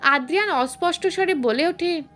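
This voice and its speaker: A woman narrates a scripted romantic story in an expressive storytelling manner. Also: very high-pitched, moderate pace, soft background music.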